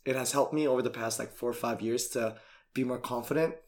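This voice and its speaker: A man who works as a coach, chatting on a podcast in an easygoing way with halting restarts and many fillers. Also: medium pitch, fairly even intonation. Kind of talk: casual chat.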